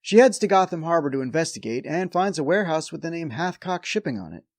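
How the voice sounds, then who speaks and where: A man's voice: a little high, big pitch swings, quick pace, no room sound. A man hosting a review show, delivering scripted comedic recap narration with a wry, sarcastic edge.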